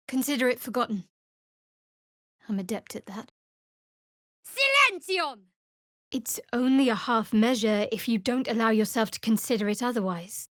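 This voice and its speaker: A woman voicing a guarded, dry-witted young female cleric of a dark goddess in a fantasy video game, with a sardonic way of talking. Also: on the high side, no echo. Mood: forceful incantation.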